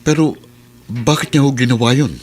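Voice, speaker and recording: A man's voice: mid-range voice, fairly quick, a little hiss or hum, natural voice. A man playing an earnest, distressed father in a Filipino radio drama, intense and emotional. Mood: confused.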